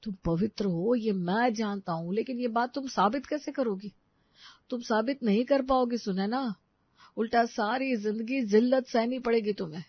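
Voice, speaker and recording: A woman's voice: fairly high pitch, normal speed, breathy edge, no room sound, lo-fi sound. A woman voicing an earnest, righteous young man in a melodramatic audio story, speaking with insistent conviction. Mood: urgent pleading. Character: earnest, indignant young man defending a wronged widow.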